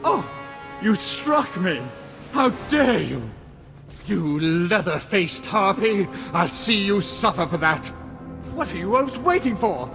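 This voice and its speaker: An actor playing a cowardly, indignant aristocrat in a video game, blustering and outraged. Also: male voice, high voice, very animated, slow pace, gravelly, heavily acted. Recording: music under the voice.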